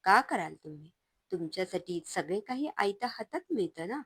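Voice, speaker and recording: A wounded yet unbending young wife and mother, as a woman reading a story voices her. She speaks firmly and defiantly with a lively, expressive pitch, recorded dry and close. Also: moderate pace, husky, no echo. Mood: hurt. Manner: firm, defiant, raised voice. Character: hurt but resolute young wife and mother.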